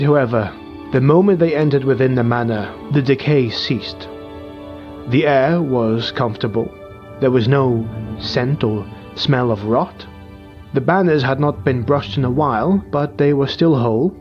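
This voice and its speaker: A man's voice: mid-range voice, lo-fi sound. Man narrating a somber fantasy tale. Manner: measured, somber storytelling. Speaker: audiobook narrator.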